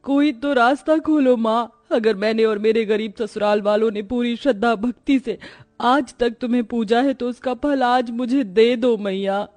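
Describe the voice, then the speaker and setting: A woman's voice: a little high, moderate pace, a little mellow, lightly acted. A woman playing a devout, long-suffering poor daughter-in-law in a cartoon moral story, earnest and reverent. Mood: tearful pleading.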